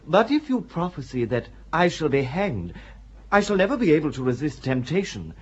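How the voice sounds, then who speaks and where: An actor playing an impudent nobleman nicknamed Bluebeard who speaks with a slow, mocking drawl. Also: on the high side, very animated, husky. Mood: sheepish. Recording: dull audio with faint hiss.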